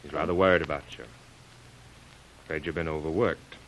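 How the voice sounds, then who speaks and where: An actor playing a concerned, commanding friend, earnest and emphatic in 1930s radio drama style. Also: male voice, extremely low pitch, fairly even intonation, brisk, rough recording. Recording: hissy vintage radio recording.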